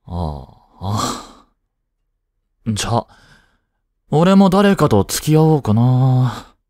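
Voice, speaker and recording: A man's voice: medium pitch, sing-song, takes it slowly, a little mellow, no echo, clean studio sound. A young man playing a lovestruck, jealous schoolboy in a romantic voice drama, speaking casually with hesitations and sighs. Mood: feigned indifference. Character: lovestruck, jealous teenage schoolboy childhood friend. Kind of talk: Japanese romantic voice drama acting.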